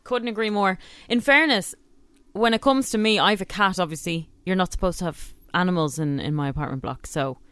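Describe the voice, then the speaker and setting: A woman's voice: fairly low pitch, fairly quick, fairly bright tone, smooth, dry recording. An Irish woman co-hosting a radio show, chatting in an easygoing way. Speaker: radio co-host. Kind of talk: casual radio chat. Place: radio studio.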